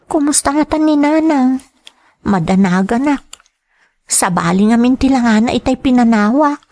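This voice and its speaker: A woman's voice: sits high, lively intonation, normal speed, a little mellow. A woman playing a devoted, worried young student daughter in an Ilocano radio drama, earnest and concerned. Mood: pensive, troubled.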